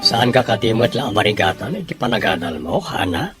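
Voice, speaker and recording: A man voicing a caring middle-aged father who gently advises his daughter. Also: lowish voice, very animated, a little fast, over music. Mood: gentle.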